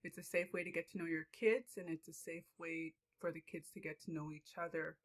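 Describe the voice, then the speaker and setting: A woman's voice: low-pitched, little pitch movement, a little fast. A young woman giving an informal presentation as a student teacher.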